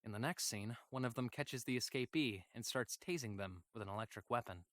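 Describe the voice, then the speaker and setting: A man voicing a polite, weary android companion who narrates a sci-fi visual novel diary in a measured, formal, hesitant way. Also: medium pitch, a bit bright, no echo, clean studio sound, lightly acted. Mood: detached, unsettled. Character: weary obedient male android companion, polite, quietly troubled.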